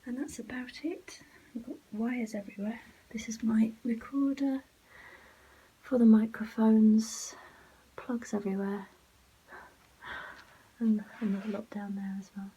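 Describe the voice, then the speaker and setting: A soft-spoken, breathy woman giving casual behind-the-scenes vlog narration, often dropping into a whisper. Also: on the high side, fairly even intonation, unhurried, long pauses, a little hiss or hum, rough recording. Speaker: ASMR vlogger and host.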